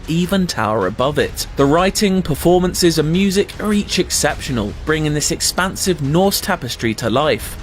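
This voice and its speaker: A man narrates a scripted game review over light music. His voice sits a little high and moves in a lively way, with polished, emphatic phrasing. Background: light background music.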